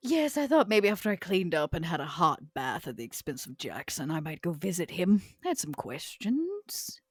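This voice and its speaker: A woman's voice: on the low side, very animated, normal speed, breathy edge, no echo, clean studio sound. A woman playing a proud, scornful old vampire in a tabletop roleplay, with haughty, theatrical, formal phrasing. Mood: dry, knowing. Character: proud, scornful old female vampire named Vera, elegant and ambitious.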